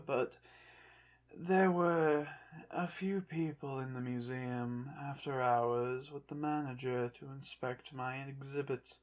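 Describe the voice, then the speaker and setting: Someone doing a proud undead egyptian pharaoh, asmr roleplay, real slow n soft, pompous old-timey wording. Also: medium pitch, natural voice. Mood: chatty, composed. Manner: pompous, formal, archaic phrasing. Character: haughty, prideful undead Egyptian pharaoh mummy, secretly fond.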